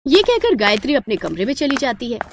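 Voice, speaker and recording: A woman's voice: on the high side, very animated, fairly quick, a little hiss or hum. A woman narrating a scripted moral story as the storyteller.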